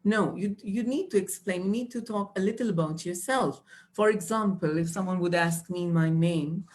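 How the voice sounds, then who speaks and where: A woman's voice: fairly low pitch, moderate pace, darkish tone. A young woman, teaching exam tips patiently with many fillers and restarts. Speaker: IELTS trainer. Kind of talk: live online teaching.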